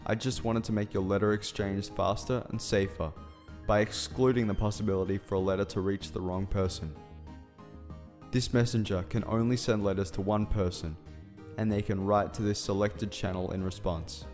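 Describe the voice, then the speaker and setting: A man's voice: fairly low pitch, steady tone, normal speed, darkish tone, lightly acted. A man playing an earnest, awkward scientist in love in a fan radio play, speaking formally and hesitantly. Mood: earnest explaining. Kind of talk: fan radio drama acting. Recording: reverberant hall sound with music underneath.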